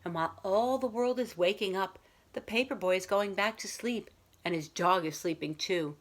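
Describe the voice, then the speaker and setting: A woman's voice: mid-range voice, moderate pace. A woman reading a picture book aloud as a school librarian, talking to young children in simple, explanatory phrasing.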